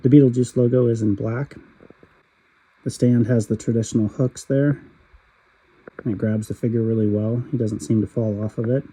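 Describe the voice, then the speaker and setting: A man talking through a product review in a steady, matter-of-fact voice-over. His tone is very dark and mellow, and a cold leaves it husky and raspy. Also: medium pitch, moderate pace, pauses often, low-quality recording. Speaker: toy reviewer.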